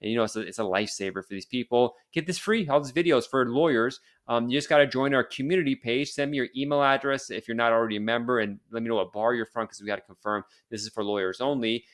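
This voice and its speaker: A man hosting a podcast, racing through his words at a brisk clip. He rambles a little, doubling back with fillers and restarts as he chats. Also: mid-range voice, a bit bright. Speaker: podcast host. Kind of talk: casual interview and promo.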